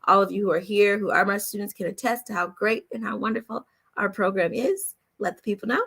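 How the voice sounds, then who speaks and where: A woman's voice: medium pitch, brisk, vocal fry, a bit bright, breathy edge. Upbeat woman hosting a casual livestream. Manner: checks in often with "okay?" and "all right?". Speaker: voice-over coach hosting a live Q&A. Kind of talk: casual livestream talk with promotion.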